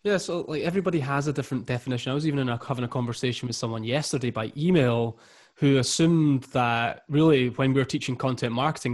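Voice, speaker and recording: A man's voice: mid-range voice, no echo, clean studio sound. A man in his thirties from the east coast of Scotland with a Scottish accent, talking with energy as a guest on a podcast interview. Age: 30s. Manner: energetic, upbeat. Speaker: guest, marketing coach.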